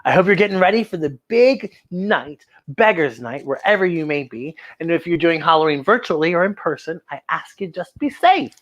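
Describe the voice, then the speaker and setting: A woman's voice: lowish voice, expressive, moderate pace, few pauses. A woman hosting children's story time, reading a picture book aloud and exclaiming directly to young viewers.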